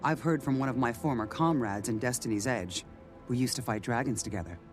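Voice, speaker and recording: An actor playing a noble veteran male hero in a fantasy video game, earnest and measured. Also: mid-range voice, soft background music, natural voice. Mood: solemn, earnest. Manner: measured, solemn.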